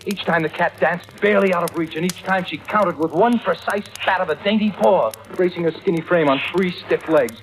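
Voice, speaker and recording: A man's voice: sits high, fairly quick, few pauses, close to an everyday voice. An actor playing a guilt-ridden young seaman narrating his own terror in an intense, haunted old radio drama style. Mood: frantic suspense. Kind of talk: 1940s-50s radio drama acting, first-person suspense narration. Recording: vintage radio recording with loud music.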